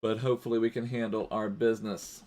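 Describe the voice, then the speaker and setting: A man's voice: lowish voice, flat delivery, quick pace, a little mellow. A man streaming a football video game live, chatting casually in a rambling way and thanking viewers as he plays.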